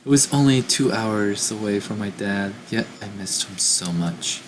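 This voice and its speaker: Low-voiced man reading a game aloud. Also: measured pace, a little hiss or hum, poor audio. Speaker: let's play host. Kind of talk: reading a visual novel aloud with commentary.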